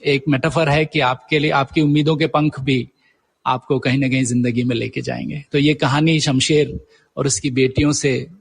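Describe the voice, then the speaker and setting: A man's voice: mid-range voice, steady tone, normal speed, gravelly, breathy edge. A middle-aged man answering as a guest writer in a conversational interview, hesitantly searching for his words.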